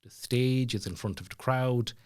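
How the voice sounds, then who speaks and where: A man's voice: mid-range voice, moderate pace, darkish tone, smooth. A man with an Irish accent telling stories casually as a podcast guest, chatty and self-deprecating, like a stand-up comedian off stage. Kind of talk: casual storytelling chat.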